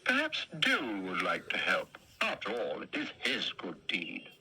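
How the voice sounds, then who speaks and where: A man's voice: fairly low pitch, wildly swooping pitch, a little slow, exaggerated voice. A man doing Parsley, a fussy fretful lion. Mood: grumbling. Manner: fretful, grumbling. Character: fussy anxious male lion, easily flustered. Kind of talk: storybook reading.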